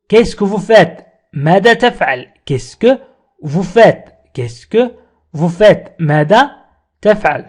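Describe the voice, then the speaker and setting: A man teaching a language lesson, saying each phrase slowly and repeating it, then explaining it quickly. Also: sits high. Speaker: French teacher.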